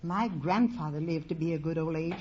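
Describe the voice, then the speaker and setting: A woman's voice: deep voice, brisk, warm tone, husky. A woman of seventy from Ohio, giving spry, matter-of-fact quips as a contestant on an old radio quiz. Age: 70s. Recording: old 1940s radio, dull, very noisy.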